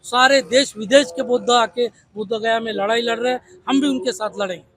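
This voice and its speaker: A man's voice: very high voice, moderate pace, a bit bright, slight background noise. A man with a Maharashtrian accent speaking as an activist in an impassioned interview, insistent and fond of repeated rhetorical questions. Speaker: protest leader and party activist.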